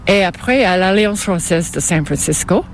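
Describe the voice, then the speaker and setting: Older woman, native English speaker, English Canadian accent, halting delivery, casual interviewee, light vehicle noise behind. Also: on the low side, expressive. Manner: halting second-language French.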